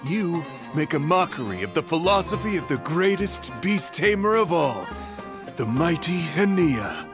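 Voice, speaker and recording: Arrogant, animated young villain. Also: male voice, a little high, a little slow, over music. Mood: scornful. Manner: pompous, scornful, theatrical. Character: arrogant, sneering young male beast-tamer villain. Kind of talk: video game voice acting.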